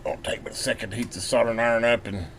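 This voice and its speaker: A man's voice: medium pitch, fairly even intonation, racing, fairly bright tone. A man giving casual hands-on repair commentary as a video host, thinking aloud while he works. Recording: clearly noisy hobbyist video recording.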